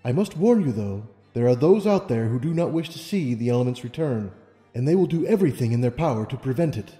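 A man's voice: mid-range voice, animated, fairly quick, warm tone, close to an everyday voice. A man voicing a wise, serene female pony ruler in a storybook reading, with solemn, formal speech. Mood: cautioning. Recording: long reverb, music underneath.

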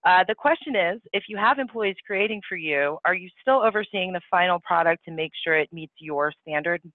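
A man's voice: sits high, brisk, no room sound. A man hosting a panel interview, asking questions in a chatty way with frequent restarts. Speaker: interview host. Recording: dry, tinny phone-like audio.

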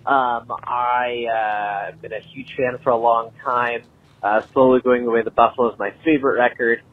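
A man's voice: medium pitch, fairly even intonation, moderate pace. An American man from Montana leaving a casual voicemail as a podcast listener, heard over a phone. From Montana, United States. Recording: tinny phone voicemail, faint noise.

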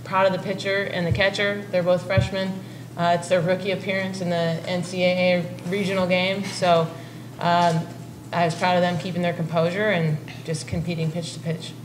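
A middle-aged woman coach fields postgame questions in a calm, measured way. Her voice sits on the low side. She speaks into a table mic in a press room, with clear noise behind her. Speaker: head softball coach. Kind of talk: postgame press conference answers.

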